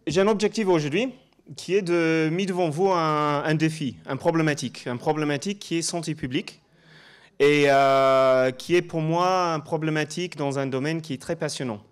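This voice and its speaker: Male professor, fairly high voice, halting and audience-engaging. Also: clean tone. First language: not French. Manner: halting non-native phrasing, engages audience with questions. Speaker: guest speaker, professor. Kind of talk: conference presentation. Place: conference room before an audience.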